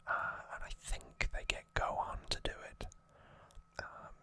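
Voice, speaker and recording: A man's voice: measured pace, pushed and bright. A man whispering as an ASMR host, giving an informal, rambling trivia recap and correcting himself as he goes.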